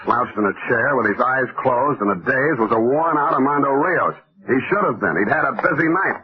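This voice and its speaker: An actor playing a wry, cynical American private detective in a deadpan 1940s radio style. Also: male voice, mid-range voice, moderate pace, over a noisy crowd, lightly acted. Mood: dry narration. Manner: sardonic, deadpan. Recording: thin, muffled vintage radio recording.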